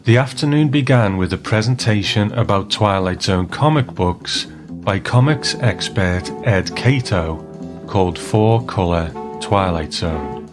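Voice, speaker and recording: A man with a low voice hosts in a casual, attentive way. He sounds encouraging and conversational, with faint music in the recording. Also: a little slow. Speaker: interviewer and podcast host. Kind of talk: casual interview with short affirming replies, some narration.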